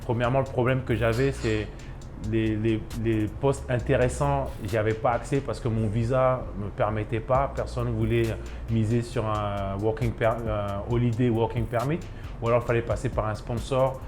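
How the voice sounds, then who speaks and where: A man's voice: medium pitch, fairly even intonation, moderate pace, a little mellow, faint music behind. A man in his thirties from Ivory Coast, a chef and restaurant owner, speaking in a documentary interview with many hesitations and restarts.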